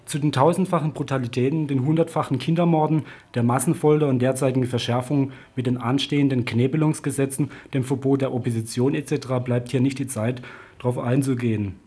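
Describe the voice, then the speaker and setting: A man's voice: mid-range voice, steady tone, a little fast, dark tone, slightly rough. A man reading a scripted political news report on the radio.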